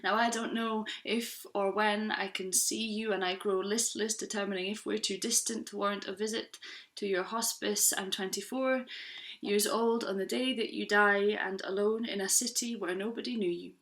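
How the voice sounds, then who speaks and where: A woman's voice: medium pitch, fairly even intonation, moderate pace, a bit bright. A woman in her twenties from Scotland performing a spoken word poem with earnest, rhythmic delivery. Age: 20s.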